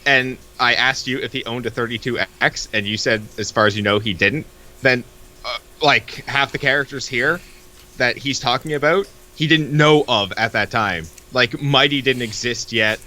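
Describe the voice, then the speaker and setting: A man's voice: mid-range voice, normal speed, bright, forceful tone. A man co-hosting with casual, teasing banter, often contradicting his partner. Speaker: co-host bantering over a webcomic.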